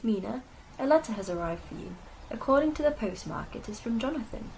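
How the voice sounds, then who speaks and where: A woman's voice: a little high, expressive, brisk. A woman playing a frail, sweet, weary young Victorian lady in a radio drama. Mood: gentle. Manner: weary, gentle. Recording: clearly noisy audio.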